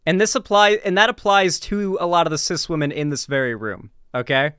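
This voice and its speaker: Male streamer, American accent, clear close-sounding voice with no room sound, blunt informal political commentary. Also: fairly high pitch, fairly quick, pushed and bright, no room sound. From Florida, United States. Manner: emphatic, opinionated, informal, swearing. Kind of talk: casual reaction commentary.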